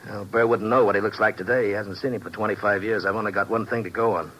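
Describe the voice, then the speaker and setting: Actor as desperate low-voiced tough American hustler. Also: male voice, steady tone, quick pace, dull audio, close to an everyday voice. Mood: urgent, confiding. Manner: agitated, pleading, slangy tough talk. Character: desperate, bitter down-on-his-luck male private operator. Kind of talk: 1950s radio drama acting. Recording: noisy old 1950s radio recording.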